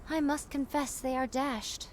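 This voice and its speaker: A woman's voice: high-pitched, fairly even intonation, a little slow, slight background noise. A woman voicing an unruffled young female warrior in an anime game, speaking in formal, archaic, theatrical phrasing. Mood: wry.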